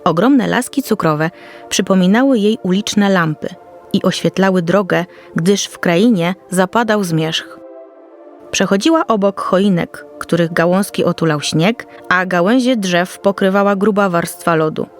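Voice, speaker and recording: A woman's voice: fairly low pitch, measured pace. A woman reading a scripted children's story as narrator, in a careful storytelling manner. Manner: storytelling, descriptive, carefully read. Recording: soft music under the voice.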